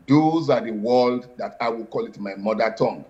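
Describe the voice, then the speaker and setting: Measured man, lo-fi sound. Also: mid-range voice, darkish tone. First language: Yoruba. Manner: measured, explanatory, formal wording. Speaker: guest, spiritual practitioner. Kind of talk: interview answers.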